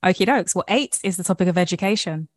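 Brief: lively female podcast host, somewhat low voice, close dry recording. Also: fast, no echo. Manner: upbeat, playful, scripted-sounding intros. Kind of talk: hosting a tech-career podcast interview.

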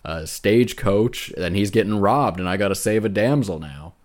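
Deep-voiced man, earnest and emphatic. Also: moderate pace. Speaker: podcast co-host. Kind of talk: casual video game discussion.

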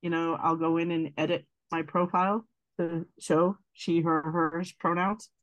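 Woman guest with a deep voice, earnest and reflective, dry close sound. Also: level tone, unhurried, no echo, poor audio. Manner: earnest, thoughtful, speaks in long winding sentences. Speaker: guest, advocate. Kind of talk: reflective interview conversation.

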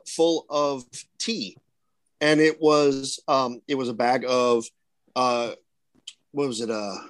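A man's voice: mid-range voice, dry recording. A man who acts at haunted attractions, talking casually on a panel and rambling with many fillers and restarts.

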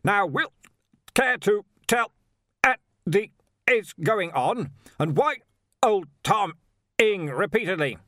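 A man voicing a pompous, blustering old wizard who heads a university, with a booming, imperious manner, in a storybook reading. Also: expressive, slow, pauses often, pushed and bright, no room sound, clean studio sound, exaggerated voice. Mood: angry, bellowing.

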